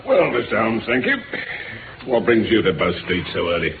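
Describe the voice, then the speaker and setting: An actor playing a genial, obliging police inspector in a 1950s radio drama, bluff and chatty, with a British accent. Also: male voice, on the low side, sing-song, moderate pace. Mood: cordial. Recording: noisy, narrow-band old radio recording.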